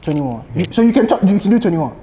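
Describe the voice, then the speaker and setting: A man in his early twenties chats quickly in a slightly high voice, with long room reverb around him. He argues casually in slang, circling back over his words to drive a point home. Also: sing-song, a crowd in the background. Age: early 20s. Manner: slangy, repeats himself to press his point. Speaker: podcast co-host. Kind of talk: casual group chat, debating. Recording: echoey hall-like reverb.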